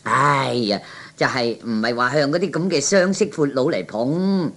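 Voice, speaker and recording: A man's voice: mid-range voice, normal speed, fairly bright tone, close to an everyday voice. A man voicing a sly, proud but broke gambler with a Cantonese accent in a 1960s comic radio play, drawling and dismissive. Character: sly, proud, broke male gambler playing big shot.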